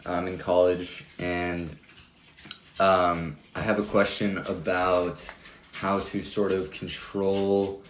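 A man's voice: low-pitched, little pitch movement, slow, reverberant, a little hiss or hum. A man of twenty-two calling in to a podcast, asking his question hesitantly with lots of ums. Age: 20s. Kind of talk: recorded voice message.

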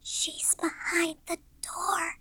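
A woman's voice: sits high, unhurried, creaky, no echo, voice pushed up, heavily acted. A woman voicing an eerie, frightened six-year-old girl in a ghost story, with a childlike delivery. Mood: terrified.

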